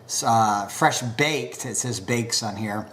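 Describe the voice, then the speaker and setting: A man casually reviewing pipe tobacco, unhurried and full of filler pauses, a bit stuffed up from a recent cold. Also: lowish voice, a bit bright.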